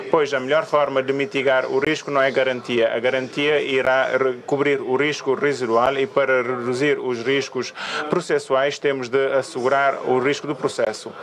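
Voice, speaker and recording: Panel speaker, man, mid-pitched, hesitant delivery with fillers. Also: fairly bright tone. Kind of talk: conference panel talk.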